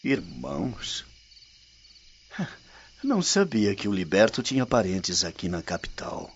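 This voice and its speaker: A man's voice: low voice, sing-song, slow, heavy background noise, full character voice. An actor playing a jovial, teasing Roman nobleman in a radio drama. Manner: teasing, laughing, calls out loudly. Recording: lo-fi audio, chirping crickets.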